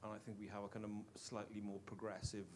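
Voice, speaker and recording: A man with a Welsh accent, a longtime teacher, asking an earnest, halting question from the floor at a panel talk. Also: lowish voice, level tone, a little hiss or hum. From Wales. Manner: earnest, halting, many restarts. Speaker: audience member, teacher.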